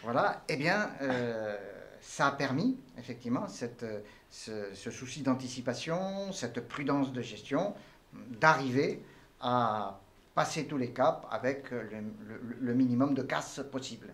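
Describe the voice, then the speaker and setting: Formal, measured older man. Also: mid-range voice, lively intonation, a bit bright. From Tunisia. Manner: formal, measured, elaborate phrasing with many hesitations. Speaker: entrepreneur guest. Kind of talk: interview answers.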